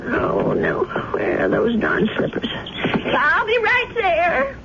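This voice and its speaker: A woman's voice: extremely high pitch, wildly swooping pitch, slow, vocal fry, exaggerated voice. An actress playing a chatty, kindly elderly grandmother who is easily flustered, in a radio drama. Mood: frightened. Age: older. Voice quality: fluttery, talkative, easily flustered. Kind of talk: 1970s radio drama acting. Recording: dull old radio broadcast with hiss.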